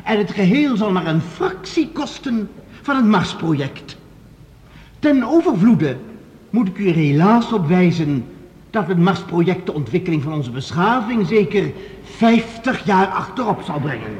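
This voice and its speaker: An actor playing a solemn congress speaker, formal and emphatic, in a radio play. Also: male voice, sits high, sing-song, a little slow, darkish tone. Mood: solemn, insistent. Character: solemn male speaker addressing a congress assembly. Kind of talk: Dutch radio play oratory. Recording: reverberant large hall.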